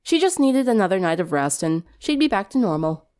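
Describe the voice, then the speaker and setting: A woman's voice: mid-range voice, very animated, brisk, darkish tone. A woman reading fan fiction aloud as dramatic story narration.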